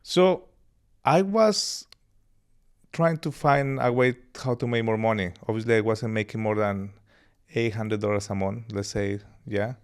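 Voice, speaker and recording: Low smooth-voiced Colombian man. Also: unhurried. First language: Spanish. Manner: relaxed, laughs easily, rambling anecdotes. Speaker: podcast guest. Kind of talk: casual interview storytelling.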